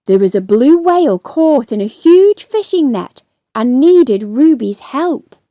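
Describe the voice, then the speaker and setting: Animated, mellow woman reading a children's story. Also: high-pitched, slow pace, no echo. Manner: animated, addresses young listeners directly with questions. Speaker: children's story reader and host. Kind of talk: expressive read-aloud storytelling for children.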